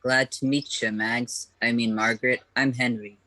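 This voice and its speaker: A cheeky male diner customer, played by a man, with a mid-pitched voice. He is flirty and unbothered, tossing out deadpan innuendo in a radio comedy. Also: moderate pace, natural voice. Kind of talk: radio comedy play acting.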